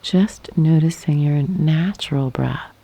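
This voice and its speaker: A woman leads a guided meditation in a low, breathy voice that often slips toward a whisper. She speaks very slowly and gently, leaving long, calm pauses between short phrases. Her tone is soft and mellow throughout. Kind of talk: guided yoga nidra meditation. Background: birdsong and nature sounds.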